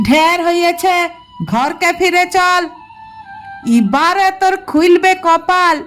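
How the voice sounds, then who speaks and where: A woman reciting dramatic poetry as a poor, ill-fated village girl in a rural western Bengal dialect, with a plaintive, emotive, drawn-out delivery. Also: extremely high pitch, pitched above the performer's own voice, full character voice.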